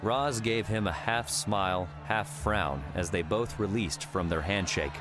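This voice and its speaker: Male narrator, deep pitch, dramatic audiobook storytelling, scripted, music underneath. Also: measured pace.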